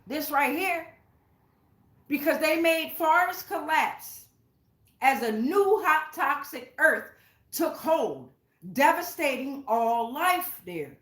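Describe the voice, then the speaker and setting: A woman's voice: high voice, sing-song, takes it slowly, fairly bright tone, echoey room. A woman giving a casual spiritual tarot reading, speaking straight to her viewers in an emphatic, blunt, sweary way. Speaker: tarot reader, channel host. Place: indoors at home.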